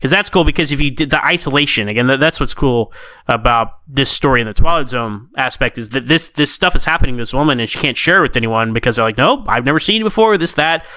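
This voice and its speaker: Male podcast co-host, crisp and bright, close dry sound. Conversational critic who acts out thoughts. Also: medium pitch, expressive, fast, dry recording. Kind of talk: casual, conversational story critique.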